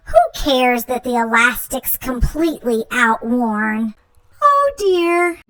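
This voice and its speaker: A woman voicing a fussy, melodramatic young monster child in a theatrical children's picture book read-aloud, with exaggerated, drawn-out words. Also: high-pitched, bright, forceful tone, voice pushed up, full character voice, poor audio. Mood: grumbling dread, then embarrassed dismay.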